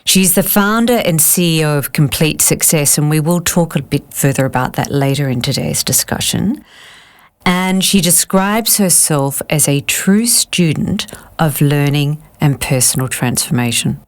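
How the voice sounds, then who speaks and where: Woman, podcast host, low voice, studio, polished delivery, interviewing a guest. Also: a little slow. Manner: polished scripted intro, then warm attentive questions.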